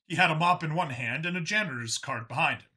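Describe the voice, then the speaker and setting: A man's voice: on the high side, fast, sharp tone, slightly rough. A man narrating a scripted science fiction story as an audiobook reader.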